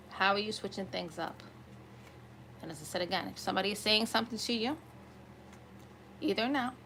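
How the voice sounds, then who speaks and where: A woman with a slightly raspy voice giving an improvised tarot reading to viewers, conversational and often checking in with listeners. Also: medium pitch, fairly bright tone, slight background noise, low-quality recording. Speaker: tarot reader hosting an online collective reading.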